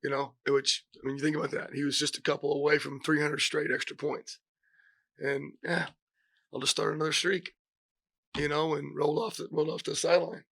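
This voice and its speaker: A middle-aged man, hoarse from a cold, giving press conference remarks as a head football coach, halting with many fillers and restarts. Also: a little high, level tone, a bit bright, dry recording.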